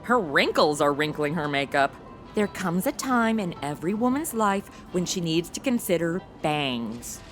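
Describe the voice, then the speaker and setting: A young woman playing a snarky, excitable modern gal in a radio comedy, gushing and sassy. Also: lowish voice, normal speed, faint music behind. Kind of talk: comic narration and banter in modern slang.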